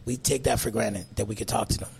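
Gravelly-voiced male radio host. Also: on the low side, steady tone, fast, crowd noise. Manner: upbeat, promotional, conversational. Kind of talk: casual radio chat.

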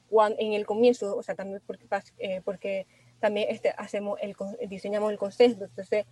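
A young Venezuelan woman answering radio interview questions as an industrial designer, pausing often with fillers and restarts. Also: medium pitch, dark tone, no room sound, rough recording. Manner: hesitant, many fillers and restarts. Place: radio studio.

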